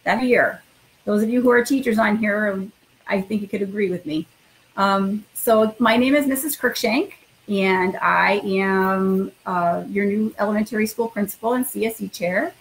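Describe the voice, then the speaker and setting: A woman's voice: medium pitch, moderate pace, slight background noise, low-quality recording. A woman in middle age, a school principal, talking informally and reading a picture book to children in an encouraging way. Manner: encouraging, addresses children directly.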